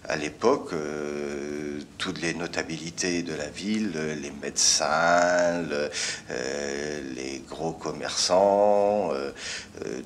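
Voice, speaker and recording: Hesitant, very deep-voiced man. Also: fairly bright tone. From Brittany. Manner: hesitant, many pauses and fillers. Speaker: interviewee. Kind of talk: interview answers.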